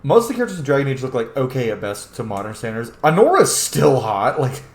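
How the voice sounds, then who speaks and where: A man's voice: mid-range voice, big pitch swings, quick pace. A man giving casual, opinionated gameplay commentary in a chatty, informal way. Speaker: game streamer. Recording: roomy, echoing microphone sound.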